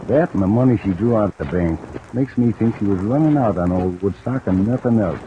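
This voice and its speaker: A man's voice: on the low side, a little fast, close to an everyday voice. An American man playing a jaded, defensive private detective in an old-time radio drama, blunt and offhand. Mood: matter-of-fact. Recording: muffled, noisy vintage radio recording. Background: steady rain.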